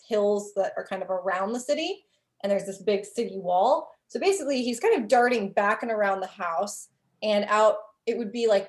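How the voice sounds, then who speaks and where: A woman's voice: medium pitch, a little mellow. A young adult woman narrating as game master in a casual way, full of "like" and "um" fillers.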